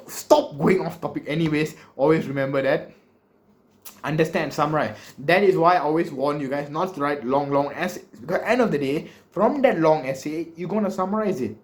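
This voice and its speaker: A man's voice: fairly high pitch, a little fast. A young man in his twenties speaks with a Malaysian English accent, coaching viewers on speech writing in a loose, playful way. He talks into a close camera mic in a small bookshelf-lined room, jokes as he goes, and slips off topic now and then. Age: 20s. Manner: animated, joking, big hand gestures, wanders off topic. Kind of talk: casual vlog lesson. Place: small bedroom with bookshelves, indoors.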